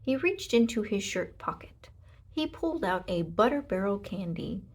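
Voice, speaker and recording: A woman narrating a children's storybook aloud, with expressive, deliberate delivery and emphasis on short sentences. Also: mid-range voice, husky. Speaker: storybook reader.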